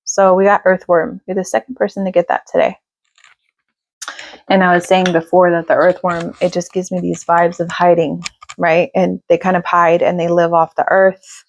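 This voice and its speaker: A woman giving a casual tarot reading to her viewers, chatty and reassuring, with lots of fillers and a slightly raspy voice. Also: on the low side, flat delivery, moderate pace, slight background noise. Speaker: tarot reader.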